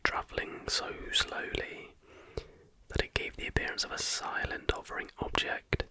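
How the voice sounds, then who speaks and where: An ASMR host whispers a scripted narration from start to finish. The whisper is bright and crisp-edged, with no full voice in it. The phrasing is unhurried and deliberate.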